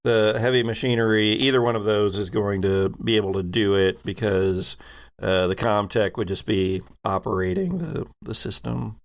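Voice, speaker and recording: Halting man with a fairly low voice. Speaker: game master. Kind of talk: improvised narration of a tabletop game.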